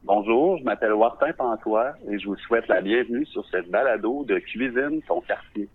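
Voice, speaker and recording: A man from Quebec with a Québécois accent, a visual artist telling his story for a podcast in a reflective, measured way, often pausing to search for words. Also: on the low side, a little hiss or hum. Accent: Québécois French. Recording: narrow phone-like sound, dry.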